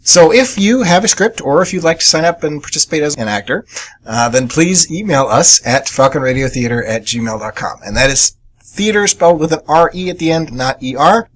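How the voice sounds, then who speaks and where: A man's voice: on the high side, animated, fairly quick, fairly bright tone. A man co-hosting a radio show, making announcements in a casual, chatty, jokey way.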